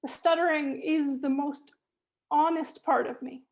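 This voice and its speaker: A woman who stutters, reading her own spoken word poem in a measured, rhythmic way over a video call. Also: high-pitched, fairly even intonation, a little mellow. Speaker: poet reading her chapter.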